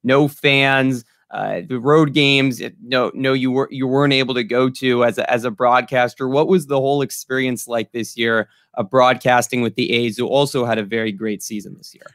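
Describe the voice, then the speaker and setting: A young American man hosts an interview in a conversational style. His voice sits at a medium pitch and sounds close and dry, with little room echo, over a video call. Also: fairly even intonation, moderate pace, hardly any pauses, a bit bright. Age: young adult. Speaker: podcast interviewer, host. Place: small room at home, indoors.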